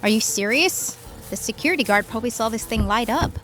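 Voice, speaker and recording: A woman's voice: on the high side, animated, a little fast, a bit bright, soft background music. A woman acting as a worried, sensible young friend in a horror audio drama, speaking in a cautious, disapproving and pleading manner. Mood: alarmed.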